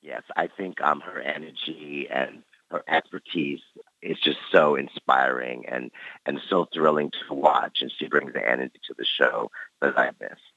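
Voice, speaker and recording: A man's voice: rumbling low, fairly even intonation, moderate pace, sharp tone, no echo. A man in his forties who grew up in Canada, reflecting easily on his dance career as an interview guest. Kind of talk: casual interview talk. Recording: dry, dull lo-fi recording.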